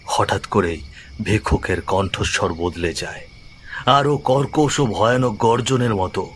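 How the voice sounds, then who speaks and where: A man narrating a scripted horror story in a measured, suspenseful way, phrase by phrase. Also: on the low side, animated, slight background noise.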